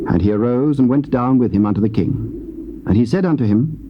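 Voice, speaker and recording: A man's voice: mid-range voice, lively intonation, fairly quick. A man with a British accent narrating dramatized scripture in formal, solemn archaic English. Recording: dull, noisy old cassette tape.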